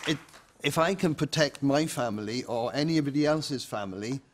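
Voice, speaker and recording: A man's voice: medium pitch, moderate pace, crowd noise, noisy background. A man speaking from a debate audience, giving a blunt, adamant opinion off the cuff. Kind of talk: spontaneous opinion.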